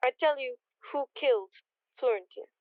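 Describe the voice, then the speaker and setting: A woman's voice: high-pitched, normal speed, no echo, phone-quality sound, lightly acted. A woman playing a mysterious, knowing temptress in a radio detective drama, speaking clipped, cryptic English.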